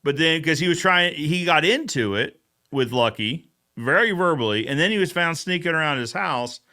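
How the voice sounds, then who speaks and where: Bright, clear-voiced man hosting a chat. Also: on the high side, expressive, moderate pace. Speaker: show host. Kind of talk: casual recap chat, bantering.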